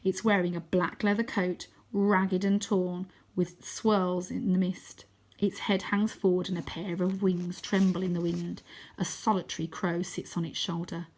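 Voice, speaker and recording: A woman reading a children's adventure story aloud as expressive first-person narration. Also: on the low side, a little slow, low-quality recording. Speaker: storybook reader.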